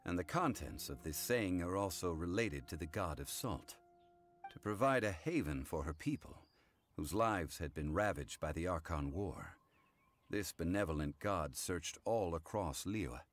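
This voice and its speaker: An actor playing a calm, learned gentleman in a video game, speaking formally and measuredly as he recounts old lore. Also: low-pitched, unhurried, soft background music. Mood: composed, solemn. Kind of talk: English video game voice acting.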